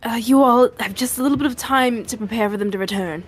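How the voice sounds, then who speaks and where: A woman's voice: a little high, fast talker, soft background music. A woman playing a tabletop roleplaying game, chatting casually and narrating her character's moves. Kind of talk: casual game talk with bits of in-character speech.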